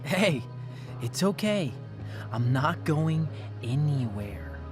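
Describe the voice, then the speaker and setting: A man's voice: on the high side, very animated, slow, over music. A man playing an obsessive, self-righteous teenage boy in a video game, insistent and earnest. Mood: earnest, consoling. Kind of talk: dramatic video game voice acting.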